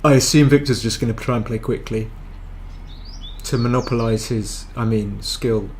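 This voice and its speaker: Guy streaming live game commentary, chatty, thinks out loud, mid pitch voice. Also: moderate pace, a little hiss or hum. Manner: chatty, thinking aloud, hesitant restarts. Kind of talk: casual live match commentary.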